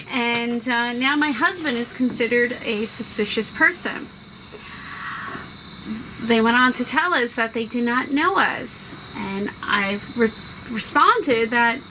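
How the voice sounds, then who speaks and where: A woman co-hosting casually, chatting and telling a personal story. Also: on the high side. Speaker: co-host, host's wife. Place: outdoors on a house porch at dusk. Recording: clear outdoor insect noise. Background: crickets and insects.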